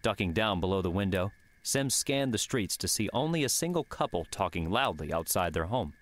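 Low-voiced man narrating an audiobook. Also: lively intonation, moderate pace, fairly bright tone. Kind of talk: scripted fiction narration.

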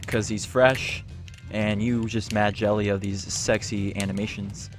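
A young man hosting a gaming video, teasing his friend with loud, laughing trash talk as they play. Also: fairly low pitch, level tone, measured pace. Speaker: gaming channel host. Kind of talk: casual gameplay banter. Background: game music.

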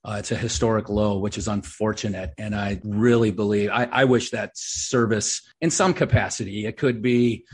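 Middle-aged guy hosting a podcast, husky voice, chatty and upbeat, agrees with everything. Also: lowish voice, fairly even intonation. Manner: enthusiastic, chatty, quick to agree. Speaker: podcast host. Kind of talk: casual conversational interview.

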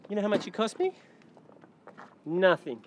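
A man's voice: high voice, big pitch swings, normal speed, a little hiss or hum. A man in his forties chatting casually in a jokey, emphatic way, often repeating himself for effect. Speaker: guest sailor on a boat vlog. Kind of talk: casual chat. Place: on a catamaran at anchor, cabin and open deck.

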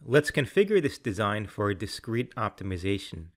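A man reading scripted instructional narration in a measured, clearly enunciated, explanatory way. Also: on the low side, big pitch swings. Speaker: software tutorial narrator.

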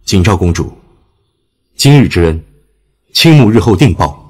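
A man's voice: low voice, animated, moderate pace, a little mellow. A man playing a proud, solemn warrior who speaks formally in a fantasy audio drama. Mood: solemn gratitude. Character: solemn, proud male demon-realm warrior. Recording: long reverb.

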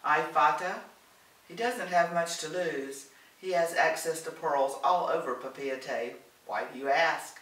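A man's voice: on the high side, a little slow, fairly bright tone, echoey room, distant mic, pitched above the performer's own voice, rough recording. A man reading the part of a worried, proud man in a storybook, reluctant and pleading. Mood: wary. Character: worried, proud man hiding a troubled past.

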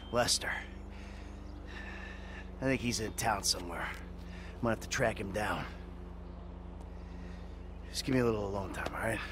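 An actor playing a hot-tempered, world-weary middle-aged criminal in a video game, sardonic and profane. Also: male voice, lowish voice, background noise, close to an everyday voice. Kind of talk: video game cutscene acting.

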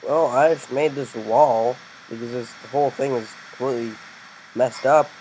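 Teen male host, mid pitch, chatty to viewers, loud background noise. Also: fairly even intonation, moderate pace, rough recording. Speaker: game channel host. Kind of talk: casual gaming commentary.

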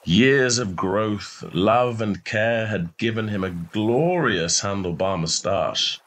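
A man with a fairly low voice reads a piece of short fiction as its narrator. His delivery is scripted storytelling, measured and expressive in a literary way.

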